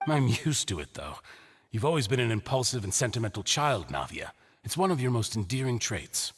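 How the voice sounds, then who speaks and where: An actor playing a condescending middle-aged businessman in a video game, speaking in a measured, theatrical way with feigned kindness. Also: medium pitch, very animated, slightly rough. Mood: feigned hurt. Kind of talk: dramatic video game dialogue.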